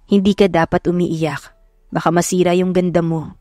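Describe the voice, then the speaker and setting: Woman playing a calm, protective male lead. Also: fairly low pitch, dry recording, studio quality, soft background music, natural voice. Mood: calm, reassuring. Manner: reassuring, measured, code-switching. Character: calm, protective wealthy young man, romantic lead.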